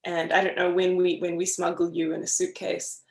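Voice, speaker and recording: Woman, strong gravelly fry, slightly low, casual guest chat, hesitant repetitions, echoey room. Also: completely flat delivery, moderate pace. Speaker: guest speaker. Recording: very roomy, echoey sound.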